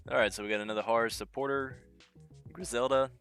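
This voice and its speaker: A man's voice: medium pitch, steady tone, quick pace, a bit bright, clean tone. A young man with a head cold giving casual commentary on a mobile game, thinking aloud as he reads out skill text. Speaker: gaming channel host. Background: game music.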